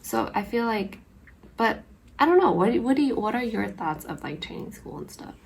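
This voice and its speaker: A woman's voice: on the high side, very animated, normal speed, vocal fry, breathy edge, rough recording. A young woman co-hosting a casual podcast chat. She talks emphatically, with many fillers. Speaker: podcast co-host.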